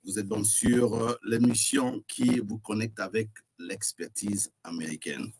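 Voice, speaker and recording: A man hosting a live online talk show with a slight cough, talking in relaxed, joking banter and repeating words as he goes. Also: on the low side, a little slow, slightly rough. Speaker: host.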